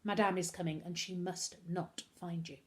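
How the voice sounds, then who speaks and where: A woman's voice: fairly low pitch, fairly even intonation, normal speed, lightly acted. Woman as a stern French-accented male butler. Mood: urgent, hushed. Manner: formal, commanding, clipped. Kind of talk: storybook character voice.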